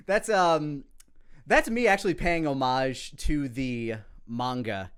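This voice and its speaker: A man's voice: on the high side, slow, fairly bright tone, clean tone. A man giving casual creator commentary in a rambling, profane, conversational way. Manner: rambling, profane, quotes lines aloud.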